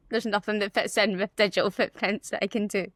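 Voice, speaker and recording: A woman's voice: mid-range voice, fairly even intonation, a little fast, a bit bright, dry recording. Bubbly, laughing woman podcast host. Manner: bubbly, laughs often, repeats phrases. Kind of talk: casual interview chat.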